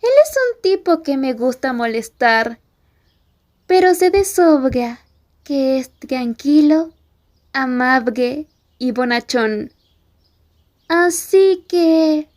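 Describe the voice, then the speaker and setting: A woman plays a tough schoolgirl bully in an ASMR roleplay, jealous and sure of herself. Her voice is high, and she draws her words out slowly in a mocking, provocative way. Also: darkish tone. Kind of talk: ASMR roleplay acting in Spanish.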